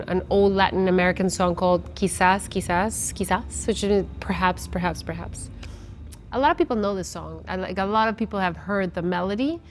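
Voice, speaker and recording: A woman in her forties, originally from Guatemala, talks casually about her music in an interview. She is chatty and often restarts her sentences. Her voice sits at a middle pitch, with faint noise behind her from the outdoor festival. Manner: chatty with many restarts and fillers. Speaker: musician guest.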